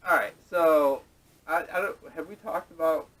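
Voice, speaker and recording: A man co-hosting a casual movie chat in a halting way, often restarting his questions, recorded on poor camera audio. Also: fairly high pitch, a bit bright, distant mic. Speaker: podcast co-host. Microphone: camera microphone at a distance.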